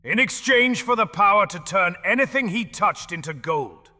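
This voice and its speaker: A man's voice: high voice, expressive, normal speed, bright, forceful tone, full character voice. A man voicing a scheming male goblin who mocks and cackles, in an audio drama with long hall reverb. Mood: gloating. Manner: mocking, cackling.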